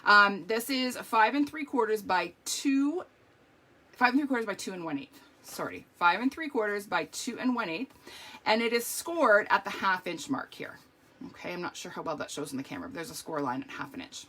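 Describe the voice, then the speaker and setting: A middle-aged woman with a strained, hoarse voice, chatting through a live card-making tutorial with lots of ums and okays. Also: medium pitch, lively intonation, normal speed, pushed and bright.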